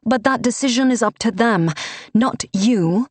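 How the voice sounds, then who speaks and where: A woman's voice: on the high side, unhurried, no room sound, voice pushed up. A woman voicing a cold, commanding noblewoman with a clipped, stern delivery.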